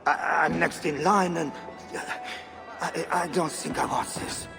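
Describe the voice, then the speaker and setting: An actor playing men of a medieval church in a video game cutscene. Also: male voice, fairly high pitch, steady tone, unhurried, gravelly, over music. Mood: nervous.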